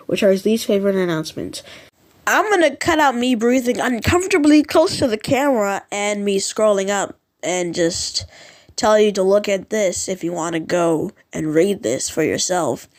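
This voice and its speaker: A woman giving casual, rambling vlog commentary in an informal, unsure and self-deprecating way. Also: mid-range voice. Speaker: vlogger ranking subway announcements.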